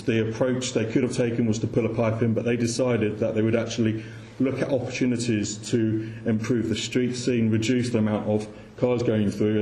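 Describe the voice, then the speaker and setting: A man's voice: on the low side, warm tone. A man giving an informal presentation to an audience, speaking somewhat hesitantly and repeating words. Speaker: conference speaker. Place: indoor room with audience. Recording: echoey room with faint background noise.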